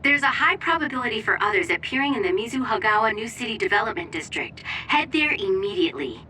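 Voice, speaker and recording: Bright, urgent mission operator. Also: female voice, medium pitch, big pitch swings, fast, distant mic, slight background noise, rough recording. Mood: urgent, formal. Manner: formal, urgent briefing. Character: formal female mission operator issuing urgent orders. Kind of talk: video game cutscene acting.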